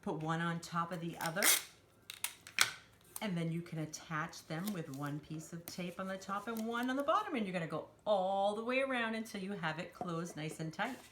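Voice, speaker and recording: A woman's voice: fairly low pitch, lively intonation, normal speed, background noise. A woman hosting a children's storytime, talking simply and encouragingly to young children as she demonstrates a craft. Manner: refers to herself in third person.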